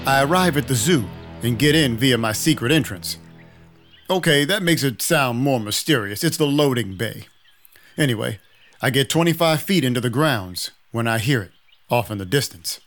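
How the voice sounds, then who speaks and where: A man acting a dry, deadpan vampire detective. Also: mid-range voice, normal speed, soft background music, lightly acted. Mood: wry, matter-of-fact. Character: weary, wry male vampire detective narrating his night. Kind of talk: first-person noir scripted narration.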